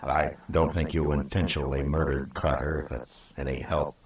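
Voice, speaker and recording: A male actor playing a stern, fair-minded American frontier marshal in a 1950s radio western, speaking firmly and deliberately. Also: rumbling low, flat delivery, darkish tone. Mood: grave, measured. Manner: firm, deliberate, authoritative. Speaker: lead actor and narrator. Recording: old radio recording, roomy and distant.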